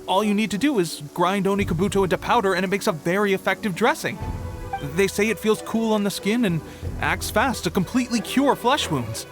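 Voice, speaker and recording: An actor playing an eager, boastful young soldier in a video game, earnest and full of bravado. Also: male voice, high-pitched, a little fast, music in the background, natural voice. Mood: eager, helpful. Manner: enthusiastic, storytelling bravado. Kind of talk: video game character dialogue.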